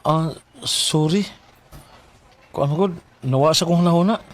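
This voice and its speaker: A man's voice: on the high side, moderate pace, lightly acted. A man playing a modest, dutiful young student with a Cebuano accent in a Philippine radio drama. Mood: awkward, friendly.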